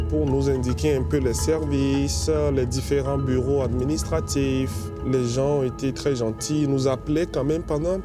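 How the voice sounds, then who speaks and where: A man from Benin with a Beninese French accent, giving a personal testimonial in an interview. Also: mid-range voice. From Benin, West Africa. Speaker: bank account manager. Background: music bed.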